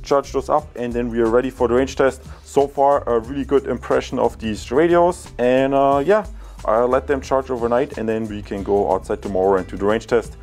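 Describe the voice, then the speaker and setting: A man's voice: medium pitch, brisk, darkish tone, soft background music. A man giving a casual, informal product review, addressing viewers directly.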